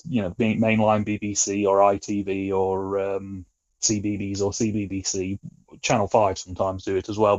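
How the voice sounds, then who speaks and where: A man's voice: lowish voice, darkish tone, muffled recording. A British man chatting casually as a podcast guest, with a wry, colloquial way of talking. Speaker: podcast guest, film reviewer.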